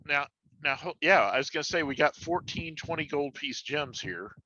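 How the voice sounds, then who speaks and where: Bright-voiced man on a dull recording. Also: a little high, lively intonation, normal speed, no room sound. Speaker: tabletop game player. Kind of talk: casual group game chat. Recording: dull, dry lo-fi voice capture.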